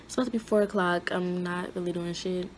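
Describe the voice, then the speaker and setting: A young woman in her twenties chatting casually in a vlog from her dorm room, her voice hoarse, filmed on a phone held at arm's length. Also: lowish voice, fairly even intonation, fairly quick. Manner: chatty, rambling, everyday. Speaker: vlogger. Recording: noisy phone recording.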